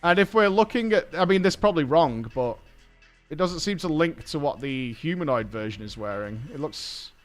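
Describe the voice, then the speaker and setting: A British man with a fairly high, very lively voice reacts casually as a gaming host. He thinks out loud in blunt, colloquial phrases. Music plays behind him. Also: moderate pace. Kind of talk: casual live reaction commentary.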